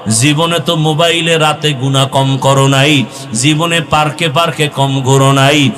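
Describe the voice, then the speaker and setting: A man's voice: fairly high pitch, steady tone, measured pace, a bit bright. A Bangladeshi man preaching an Islamic sermon in a pleading, impassioned, half-sung way, drawing out his phrase endings into a chant. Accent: Bangladeshi Bengali. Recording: long echoing reverb with music behind.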